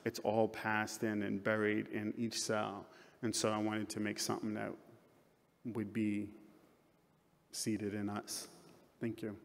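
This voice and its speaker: A man giving a reflective, measured conference talk about his work. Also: on the low side, monotone, vocal fry, background noise. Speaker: panelist artist. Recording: reverberant hall.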